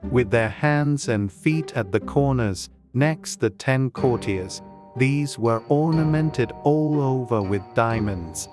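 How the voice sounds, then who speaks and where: A man reading scripted storybook narration as an audiobook narrator. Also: medium pitch. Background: faint music.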